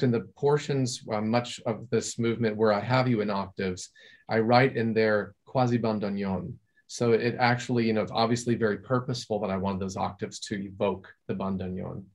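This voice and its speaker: A man from California, a composer, explaining his music in a relaxed, chatty way over a video call from his home study. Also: fairly low pitch. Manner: relaxed, chatty, restarts and fillers. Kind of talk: video-call masterclass discussion.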